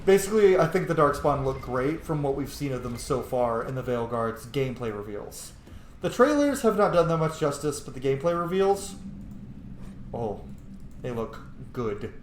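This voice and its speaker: A man's voice: mid-range voice, very animated, normal speed. Guy doing lets play commentary, rambly n sweary, kinda roomy sound, bit of noise behind. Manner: rambling, opinionated, profane. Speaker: gaming video host. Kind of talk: casual gameplay commentary. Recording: roomy echo, faint background noise.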